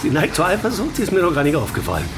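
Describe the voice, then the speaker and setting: A man's voice: on the high side, huge pitch swings, racing. A man acting in a radio drama as a blunt, teasing old friend with a mocking, emphatic delivery. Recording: loud music behind the voice.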